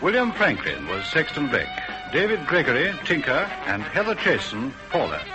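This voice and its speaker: A man's voice: fairly high pitch, wildly swooping pitch, moderate pace, over music, poor audio. A man working as a radio announcer, reading scripted credits in an old broadcast style.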